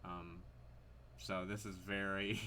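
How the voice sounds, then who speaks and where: Low-pitched man, bright voice, rambling casually. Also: little pitch movement, slow, clean tone. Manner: hesitant, rambling, thinking aloud. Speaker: video creator commenting on a horror game. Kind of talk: casual unscripted commentary.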